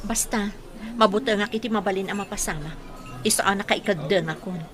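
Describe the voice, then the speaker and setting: A woman's voice: mid-range voice, a little fast, fairly bright tone, faint music behind, close to an everyday voice. An actress playing a worried but determined wife and mother in an Ilocano radio drama, with an Ilocano accent. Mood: worried, insistent.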